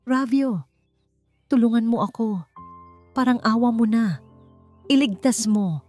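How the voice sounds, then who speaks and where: A desperate young mother acted out by a woman narrator begs tearfully. The voice lifts as she pleads, in the manner of a romance audio story, with soft music underneath. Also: a little high, slow, pitched above the performer's own voice. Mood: desperate pleading.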